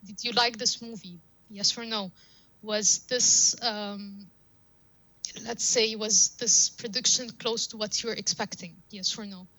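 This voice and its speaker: A woman's voice: mid-range voice, steady tone, measured pace, bright, forceful tone, no room sound, poor audio. A woman whose first language is Arabic, a guest on a podcast, explaining her ideas with examples and repeated phrases. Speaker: guest data scientist. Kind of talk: podcast interview.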